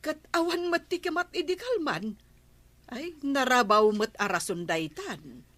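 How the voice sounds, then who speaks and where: Nagging wife for radio comedy, Ilocano accent, highish bright voice, practical, dry recording. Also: female voice, animated, measured pace, no echo, exaggerated voice. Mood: questioning. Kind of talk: comedy radio drama acting.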